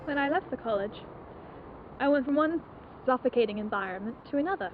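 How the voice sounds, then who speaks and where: A woman's voice: high-pitched, expressive. A young woman playing an intense, repressed aristocratic spinster haunted by her youth, in a theatrical confessional monologue. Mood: anguished. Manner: theatrical, confessional, deliberate phrasing. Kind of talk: dramatic monologue. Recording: tinny band-limited noisy amateur film sound.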